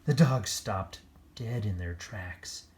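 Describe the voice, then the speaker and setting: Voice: low-pitched, slow pace, a little mellow, a little hiss or hum. A read-aloud storyteller reading a children's picture book expressively, with playful, chatty asides.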